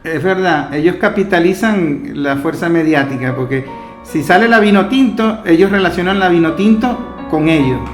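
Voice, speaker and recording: A Venezuelan man hosts an interview in a strongly echoing room. His voice sits a little high for a man. He talks conversationally, offering opinions alongside his guest. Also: moderate pace, faint music behind. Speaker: interviewer, host. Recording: echoey, roomy sound.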